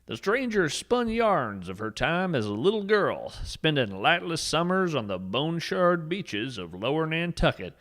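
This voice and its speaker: Casting: male actor as an eccentric naturalist host, higher-pitched, widely swooping delivery, folksy and grandly dramatic storytelling. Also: very animated, unhurried, hardly any pauses, fairly bright tone. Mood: mysterious relish. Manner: folksy, dramatic, earnest with tongue-in-cheek grandeur. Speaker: podcast host. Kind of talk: theatrical scripted storytelling narration.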